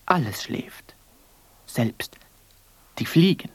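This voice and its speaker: A man's voice: medium pitch, lively intonation, very slow, slightly rough, dry recording. A man telling a story for radio in terse, suspenseful fragments, often dropping close to a whisper.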